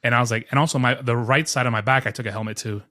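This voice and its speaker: Fast-talking bright man in casual chat. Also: medium pitch, no room sound, clean studio sound. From New York. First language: Spanish. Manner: storytelling, joking, animated retelling. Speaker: podcast co-host.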